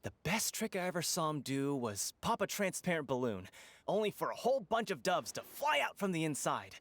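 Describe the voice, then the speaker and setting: Animated male townsman, fairly high pitch, swooping intonation, self-assured, emphatic, video game dialogue. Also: fairly quick, no echo. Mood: enthusiastic. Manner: emphatic, colloquial.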